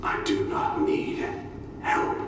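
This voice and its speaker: A man playing a fanatical, devout soldier prisoner in a game audio log, preachy, solemn and menacingly certain. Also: close to an everyday voice. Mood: defiant. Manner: preachy, solemn, slow and deliberate. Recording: echoey hall from far off, faint noise.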